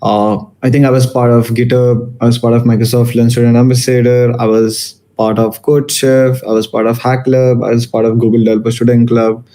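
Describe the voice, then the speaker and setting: Young male speaker from Malaysia, mellow tone, mid pitch, casual student-event talk full of "uh"s and restarts. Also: little pitch movement, fairly quick, hardly any pauses. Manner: casual, many fillers and restarts. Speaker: guest speaker.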